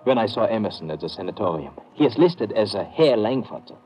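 Anxious doctor, animated intonation. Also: male voice, on the low side, fairly quick. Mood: uneasy. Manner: hesitant, nervous, formal phrasing. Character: anxious European sanatorium doctor, middle-aged man. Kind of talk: old-time radio drama acting. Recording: dull vintage radio recording, faint noise.

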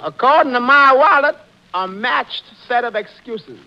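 An actor playing a droll tavern employee, a wry underdog who answers with dry wisecracks in 1940s radio comedy style. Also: male voice, extremely high pitch, lively intonation, unhurried, heavily acted. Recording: dull 1940s radio recording.

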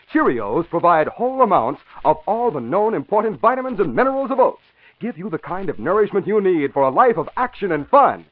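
High-voiced, animated American radio announcer. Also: male voice. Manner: polished, emphatic salesmanship. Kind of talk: 1950s radio announcing, scripted narration and advertising. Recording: dull vintage radio broadcast.

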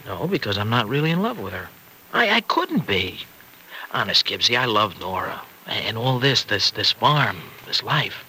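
An actor in an old-time radio drama playing a troubled, restless married farmer with a wayward past, speaking colloquially in halting, earnest confessions. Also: male voice, fairly low pitch, sing-song, pushed and bright, heavily acted, poor audio. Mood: earnest, torn. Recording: vintage radio recording, faint noise.

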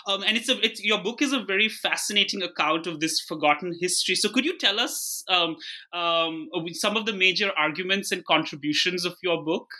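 A man's voice: sits high, a little fast, pushed and bright. A man hosting an interview podcast, politely and formally introducing his guest and asking questions.

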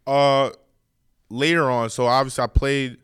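A man's voice: mid-range voice, fairly even intonation, measured pace, a bit bright, clean tone. A young man from New York and New Jersey chatting casually and emphatically as a college basketball player being interviewed on a podcast. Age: young adult.

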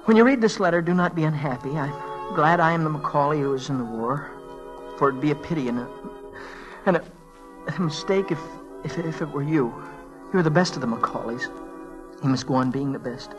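An American man playing an earnest, grieving teenage boy in a 1940s radio drama, speaking haltingly and with emotion. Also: on the high side, lightly acted, low-quality recording. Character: earnest grieving teenage boy reading his soldier brother's letter. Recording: vintage 1940s radio broadcast, music behind.

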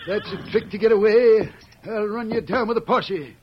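A very high-pitched voice comes through a muffled, noisy old radio recording. It is a man acting as a blustering, suspicious frontier sheriff with folksy, excitable western talk. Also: normal speed, natural voice. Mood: indignant, suspicious.

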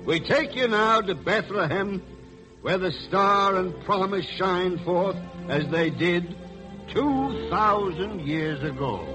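A man's voice: high voice, animated, takes it slowly, poor audio. An American man narrating solemnly and formally in the oratorical style of a 1940s radio announcer. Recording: old 1940s radio, music behind. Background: music.

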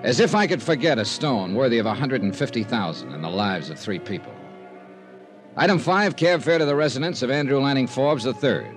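Man acting a wry radio detective with lively inflection. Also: medium pitch, a little fast, over music, lightly acted. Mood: dry narration. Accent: American. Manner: wry, clipped delivery. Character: wry, cool freelance male insurance investigator. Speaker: radio drama lead and narrator.

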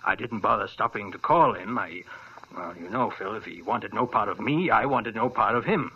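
A man's voice: on the low side, normal speed, slightly rough. An actor playing a worried, earnest older male doctor who talks hesitantly, in 1940s radio style. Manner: earnest and hesitant, full of uh's. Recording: dull old radio recording.

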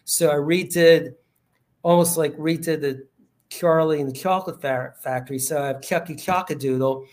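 A man's voice: a little high, fairly even intonation, moderate pace, darkish tone. Man author rambling about his books. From United States. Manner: rambling, many fillers and restarts. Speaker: author guest. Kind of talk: casual interview chat.

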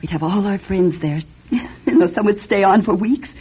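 An actress playing an anxious, sleepless wealthy widow in a radio drama, fretful and halting, often repeating her words. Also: mid-range voice, warm tone. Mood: weary, wistful. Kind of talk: old-style radio drama acting. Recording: dull, husky-sounding radio recording with music.

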